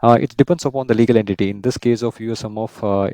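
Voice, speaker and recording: A man in his thirties explaining software step by step as a tutorial host, often checking in with a "right?". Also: lowish voice, fairly even intonation, fast talker, no echo. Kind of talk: screen-share software tutorial, semi-scripted explanation.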